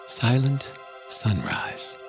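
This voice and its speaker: A man giving a contemplative scripted reading of prose, solemn and measured, with long pauses. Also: mid-range voice, huge pitch swings, vocal fry. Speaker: author reading own book. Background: music.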